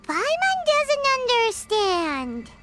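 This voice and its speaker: A woman voicing a tiny, excitable, childlike fairy companion in a video game, with a squeaky, piercing voice and naive, exclamatory delivery. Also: drawn-out words, over loud music, full character voice. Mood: alarmed, confused. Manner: speaks of herself in third person, exclamatory.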